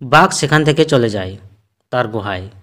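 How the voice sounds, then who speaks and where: A man's voice: mid-range voice, lively intonation, moderate pace, a little hiss or hum. A man narrating a scripted children's animal story as the storyteller of a cartoon. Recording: loud music under the voice.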